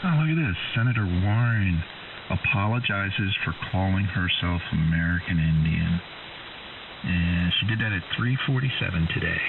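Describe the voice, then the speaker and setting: A man's voice: low-pitched, normal speed, creaky. A man calling in on a ham radio chat, rambling casually with many ums and uhs. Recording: distant, tinny radio signal with hiss.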